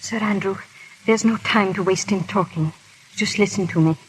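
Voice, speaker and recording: A woman's voice: medium pitch, normal speed, lightly acted. A woman playing a proud, guilt-ridden aristocratic wife in a theatrical, urgent 1930s radio drama style. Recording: crackly, hissy 1930s radio recording.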